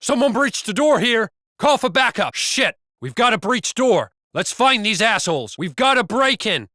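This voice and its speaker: A man's voice: high voice, very animated, normal speed, sharp tone, no echo, clean studio sound, full character voice. A man voicing an aggressive, foul-mouthed police officer barking urgent commands in a video game. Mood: urgent alarm. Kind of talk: scripted video game combat barks.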